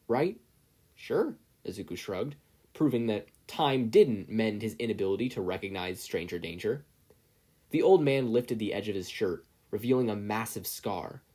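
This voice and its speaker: A man's voice: lowish voice, mellow. A man reading a story aloud as scripted audiobook narration. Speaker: podfic reader, narrator.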